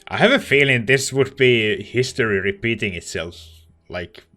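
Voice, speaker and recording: A man's voice: medium pitch, normal speed, bright, forceful tone, soft background music. A man giving casual let's play commentary on a game, reacting in a hesitant, musing way.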